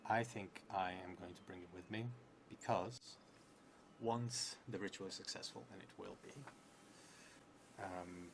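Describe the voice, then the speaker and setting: A man in his thirties with a creaky voice, thinking aloud and reading as he plays a solo tabletop game, halting and trailing into murmurs. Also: deep voice, fairly even intonation, noisy background. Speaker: solo tabletop roleplayer streaming.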